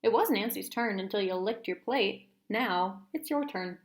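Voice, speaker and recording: Storybook father read by a woman: unimpressed, firm, dry, measured and unhurried delivery. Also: medium pitch, natural voice. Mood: stern, dry. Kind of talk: children's storybook read-aloud character voice.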